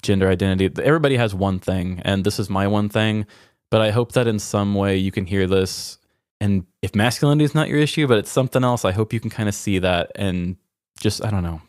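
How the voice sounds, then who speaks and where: Low-voiced man talking candidly. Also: brisk, smooth, no echo, clean studio sound. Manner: self-deprecating, reflective. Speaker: podcast host. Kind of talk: candid personal conversation.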